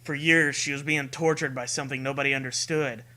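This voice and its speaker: A man's voice: mid-range voice, fairly even intonation, fairly quick, bright, forceful tone. A man reading a scary story as a narrator, with dramatic, earnest delivery. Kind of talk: dramatic scripted narration of a creepypasta.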